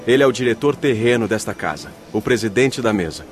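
A man's voice: mid-range voice, animated, fairly quick, natural voice. Actor as a composed male spirit instructor, faint music. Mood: solemn explaining. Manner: formal, instructive. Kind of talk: radio drama acting.

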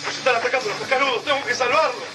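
A man's voice: extremely high pitch, rapid-fire, rough recording. An actor playing a desperate, anguished husband and father in an Argentine radio drama about an invasion. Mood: frantic alarm. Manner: anguished, urgent, exclamatory. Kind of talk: dramatic radio play acting. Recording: loud music over radio drama dialogue.

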